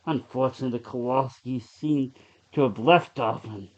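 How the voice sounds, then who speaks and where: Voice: mid-range voice, moderate pace. Horror story narrator, slightly dull lo-fi sound.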